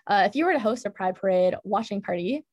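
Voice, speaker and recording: A woman's voice: mid-range voice, lively intonation, fast, darkish tone, no echo, highs cut off. Woman interviewer, dry close sound. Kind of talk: interview question.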